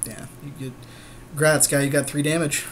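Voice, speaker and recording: A man's voice: fairly high pitch, level tone, moderate pace. A man chatting casually about a game in a laid-back, agreeable, slangy way. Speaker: podcast co-host playing a card game. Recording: faint background noise.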